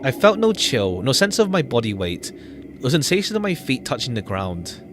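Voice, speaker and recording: A man's voice: mid-range voice, sing-song, normal speed, noisy background. A man streaming a visual novel, reading the game text aloud and adding casual commentary.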